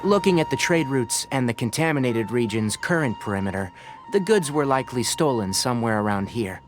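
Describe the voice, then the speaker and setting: A man voicing a composed, analytical young researcher in a video game, speaking in a measured, articulate and instructive way. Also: medium pitch, expressive, close to an everyday voice. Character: calm, analytical young male forest researcher. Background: game music.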